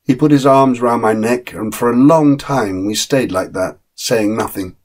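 A man voicing a loyal, plain-spoken dog who narrates a storybook tale in a measured, wistful, husky way. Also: mid-range voice, lightly acted. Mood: wistful, earnest. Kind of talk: dramatic short story reading.

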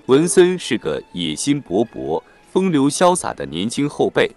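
A man's voice: mid-range voice, huge pitch swings, measured pace, a little mellow, faint music behind. A man reading a scripted plot summary as a narrator. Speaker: film recap narrator.

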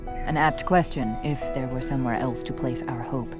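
An actress playing a grave, imperious older female scientist in a video game, with measured, formal, authoritative diction. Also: rumbling low, fairly even intonation. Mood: grave, urgent. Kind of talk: video game cutscene acting. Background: orchestral game music.

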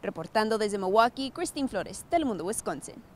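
A woman's voice: mid-range voice, big pitch swings, moderate pace. A woman in her thirties narrating a scripted television news report as a reporter.

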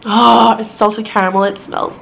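A young woman, chatting in a casual haul video from her bedroom, a little raspy from being sick and bubbly in her telling. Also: moderate pace, soft background music, rough recording. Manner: chatty and bubbly, rising into high excited quotes. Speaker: beauty vlogger. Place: bedroom, indoors. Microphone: camera close up, facing viewer.

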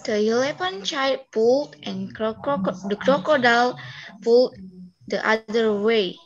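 Young female narrator, slow careful read, slightly high voice, dull video-call sound. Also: expressive. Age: young adult. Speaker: student narrator. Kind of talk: scripted story narration. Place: indoor room, video call. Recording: muffled video call audio.

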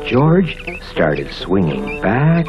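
Low-voiced man narrating with big melodic swings. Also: huge pitch swings, drawn-out words. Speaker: storybook narrator. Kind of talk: expressive children's story narration. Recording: muffled vintage cartoon soundtrack with music.

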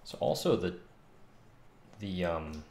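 Very deep, gravelly man thinking aloud. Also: expressive, slow pace, darkish tone. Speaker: game developer streaming. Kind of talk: casual think-aloud livestream while coding. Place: small home room, indoors. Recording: roomy, faint noise, keyboard clicks.

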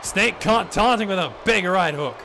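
Profane, excitable wrestling commentator. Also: male voice, high voice, moderate pace, gravelly. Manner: excited, profane, drops in "mate" often. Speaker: play-by-play commentator. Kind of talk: wrestling commentary on a video game match. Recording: noisy background.

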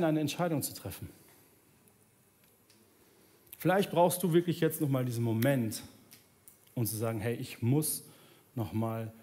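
A man in his thirties, a pastor preaching earnestly on a large church stage, dropping soft and low and then rising to plead, ending in prayer. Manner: earnest, swings between soft low passages and raised pleading. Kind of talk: sermon and closing prayer. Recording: church sermon with music playing underneath. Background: soft instrumental music.

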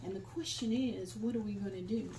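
A woman's voice: mid-range voice, a little fast, crowd noise. Older woman preaching in an echoey church. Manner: fervent preaching, breaks into song, quotes scripture. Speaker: guest preacher. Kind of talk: sermon. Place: small church sanctuary, standing at a pulpit. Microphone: phone camera from the pews, far off. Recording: echoey room, far from the phone mic.

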